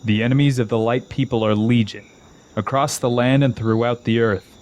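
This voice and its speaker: A man playing a scheming exiled old king in a fantasy audio drama, speaking in a slow, grandiose, coaxing manner. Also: mid-range voice, steady tone, a little mellow, slight background noise, close to an everyday voice. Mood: vengeful, grandiose.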